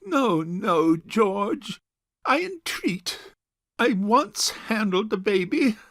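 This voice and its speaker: A man playing a stubborn, pompous bachelor uncle in a stage farce, speaking formally and with measured care. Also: high-pitched, sing-song, a bit bright, dry recording, clean studio sound, exaggerated voice. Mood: flustered, stubborn. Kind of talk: stage farce audio drama acting.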